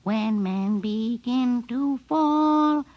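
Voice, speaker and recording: In a muffled old radio recording, a tiny living doll, high and very slow. The delivery is sing-song and eerie, in vintage radio drama style. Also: female voice. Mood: sing-song menace. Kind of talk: 1950s radio drama acting.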